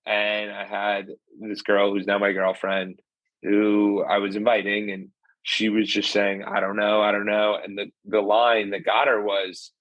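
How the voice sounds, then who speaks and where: A man's voice: fairly low pitch, level tone, measured pace, no room sound, highs cut off. A man hosting a podcast, introducing his guest and chatting in an upbeat, casual way. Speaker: podcast host. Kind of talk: podcast interview.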